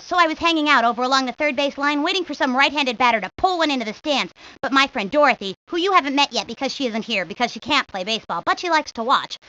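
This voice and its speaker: A woman's voice: high voice, bright, forceful tone, heavily acted. A woman voicing a talkative, confident young baseball-loving girl in a cartoon video game, rambling on matter-of-factly. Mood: chatty, animated. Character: talkative, sporty young girl, ambitious baseball know-it-all. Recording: dull lo-fi 1990s game audio.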